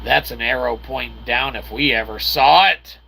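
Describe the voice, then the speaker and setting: A man with a gravelly, creaky voice gives casual video game commentary, mixing wordy mock-formal phrasing with slang and speaking as "we". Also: mid-range voice, normal speed. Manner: refers to himself as "we". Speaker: gaming channel host. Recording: clearly noisy background.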